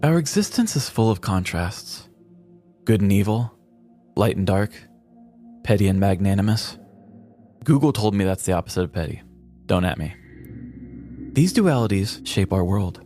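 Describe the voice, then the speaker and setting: Male podcast co-host, fairly low voice, conversational storyteller. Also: lively intonation, moderate pace, long pauses, warm tone, faint music behind. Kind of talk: casual chat and scripted storytelling.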